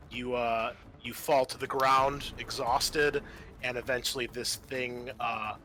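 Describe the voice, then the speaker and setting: A man acting as game master, narrating a tabletop roleplaying game in casual, sweary language. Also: medium pitch.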